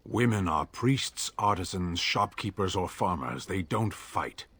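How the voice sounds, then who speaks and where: A stern, blunt giant warrior. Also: male voice, deep voice, a little slow, breathy edge, full character voice. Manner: blunt, flat, matter-of-fact pronouncements. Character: stern towering male warrior of a giant race, rigidly literal. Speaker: game companion.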